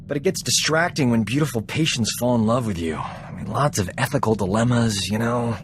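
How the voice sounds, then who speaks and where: A man's voice: medium pitch, brisk, slight background noise, natural voice. An actor playing a roguish, flippant combat medic and ladies' man in a video game. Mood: breezy, boastful. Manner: glib, breezy, self-satisfied, flippant.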